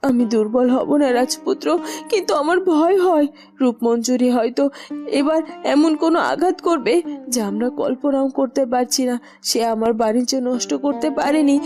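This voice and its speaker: A woman's voice: high voice, dark tone, close to an everyday voice. A woman voicing a lonely, resolute young royal heroine in a fairytale cartoon.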